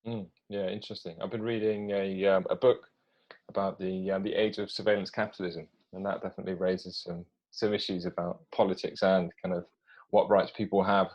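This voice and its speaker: A man's voice: low-pitched, little pitch movement, a little fast, a little mellow, highs cut off. A man speaking as an interview host in a conversational style.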